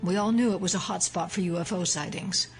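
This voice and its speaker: An actress playing a resolute, analytical female FBI agent in an audio drama, explaining things seriously and deliberately. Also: on the low side, faint music behind, natural voice.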